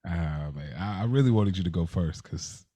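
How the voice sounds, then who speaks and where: Casual low-pitched man, very dry recording. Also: expressive, normal speed, dark tone, dry recording. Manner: informal, slangy. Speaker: podcast co-host. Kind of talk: casual conversational podcast chat.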